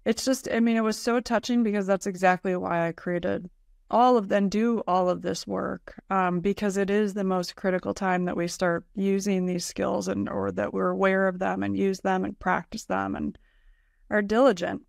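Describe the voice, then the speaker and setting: A woman's voice: medium pitch, fairly even intonation, normal speed, gravelly, no room sound. A middle-aged woman hosting an interview podcast, talking conversationally in an effusive, earnest way into a close desk microphone. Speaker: podcast host. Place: indoor room, video call.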